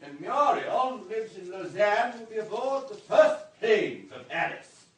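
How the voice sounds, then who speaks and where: A man's voice: high-pitched, very animated, a little slow, breathy edge, full character voice. An actor playing a wealthy, urbane society man in a radio drama, breezy, courteous and talkative. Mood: brisk, urbane.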